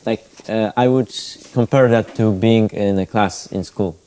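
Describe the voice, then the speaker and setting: A nineteen-year-old man from Poland, a student on a panel, explaining things haltingly with fillers. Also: fairly low pitch, fairly even intonation, mellow, clean tone. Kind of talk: answering questions on a panel.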